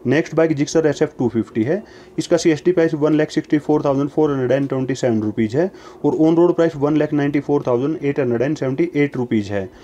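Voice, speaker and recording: A man reads price lists at a brisk pace, each entry in the same steady pattern. His voice is warm and mellow, and the delivery stays even and matter-of-fact throughout. It is a voice-over laid over price slides. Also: fairly high pitch, highs cut off. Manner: steady, even list reading in set formulas. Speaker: narrator reading price lists. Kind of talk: scripted voice-over reading prices.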